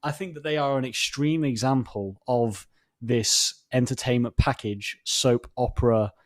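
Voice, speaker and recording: Male co-host, medium pitch, casual discussion with wry, wordy delivery. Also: a little slow. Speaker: podcast co-host.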